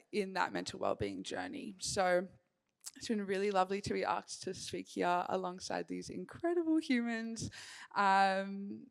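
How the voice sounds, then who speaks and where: A woman's voice: medium pitch, clean tone. A woman hosting a panel, friendly and upbeat with ums. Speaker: panel moderator.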